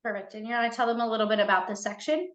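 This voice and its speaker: A woman moderating a panel over a video call, reading a formal welcome in a steady way. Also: on the high side, racing, roomy sound, dull audio.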